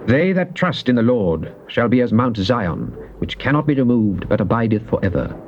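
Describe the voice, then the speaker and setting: A man's voice: medium pitch, big pitch swings. Solemn man as devout psalmist. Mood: solemn, grateful. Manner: solemn, measured, theatrical. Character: devout psalmist pleading and praising God. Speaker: scripture reader. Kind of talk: dramatized King James Bible reading. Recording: dull, noisy old cassette tape.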